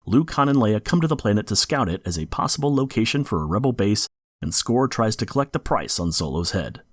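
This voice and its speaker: A man hosts a podcast from a prepared script. His voice sits on the low side and moves up and down with lively intonation. The recording is close and dry. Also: brisk, no room sound. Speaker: podcast host. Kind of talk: scripted informative narration.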